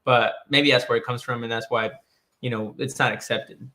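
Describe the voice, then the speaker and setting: A man's voice: mid-range voice, fairly even intonation, moderate pace, bright, forceful tone. A man from Colombia talking loosely in a casual discussion, rambling with lots of "like" and restarts. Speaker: guest.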